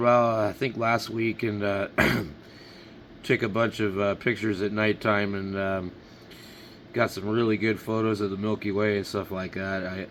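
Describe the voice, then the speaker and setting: A middle-aged man from Washington State talks in a low voice. He chats casually as a ham radio operator, with faint noise behind him. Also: fairly bright tone. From Washington State, United States.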